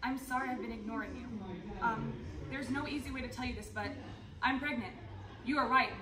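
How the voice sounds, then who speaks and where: A young woman plays a clingy, troubled teenage girl with exaggerated stage delivery. The voice is high. She is heard from a distance in an echoey room with an audience. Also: fairly even intonation, fairly quick, crowd noise, natural voice. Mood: upset, pleading. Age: young adult. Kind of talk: readers theater performance. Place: large conference room. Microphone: distant camera.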